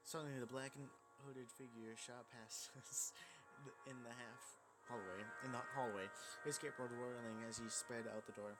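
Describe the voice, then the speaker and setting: A man's voice: mid-range voice, steady tone, moderate pace. A man reading a visual novel aloud as dramatic narration, casual and prone to stumbling over lines. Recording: loud music under the voice. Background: game music.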